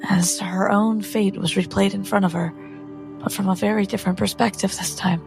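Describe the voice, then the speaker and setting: A woman's voice: mid-range voice, fairly even intonation, moderate pace, creaky. A woman player in a tabletop roleplay, dramatically narrating her character's actions in the third person. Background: music.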